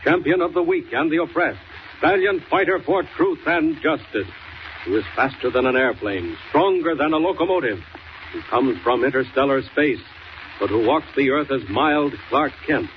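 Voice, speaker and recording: A man's voice: medium pitch, normal speed, lightly acted. A man narrating a 1940s radio adventure serial in a grand, emphatic, theatrical announcer's style. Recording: muffled, hissy 1940s radio recording.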